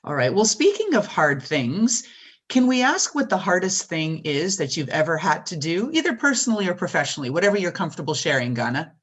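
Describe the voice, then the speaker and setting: A woman's voice: fairly low pitch, big pitch swings, brisk, breathy edge. A Canadian woman hosting an interview, upbeat and enthusiastic as she asks her questions. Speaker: podcast host.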